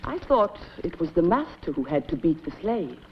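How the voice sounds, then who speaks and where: A woman's voice: lowish voice, very animated, moderate pace. A coy, scheming lady. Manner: coy and coaxing. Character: lord's wife, adult woman, flirtatious and scheming. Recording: dull lo-fi film soundtrack, faint hiss.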